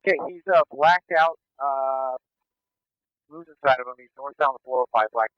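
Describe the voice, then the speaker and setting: A man working as a police officer, calling out a pursuit over police radio in terse, halting live commentary. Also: medium pitch, clean tone, dry recording. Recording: muffled police scanner radio.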